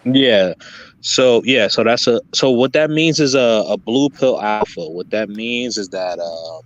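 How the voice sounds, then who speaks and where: Male guest, low voice, halting and filler-heavy, casual call-in explanation. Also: highs cut off. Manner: hesitant, many fillers and restarts. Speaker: guest content creator. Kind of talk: casual interview talk.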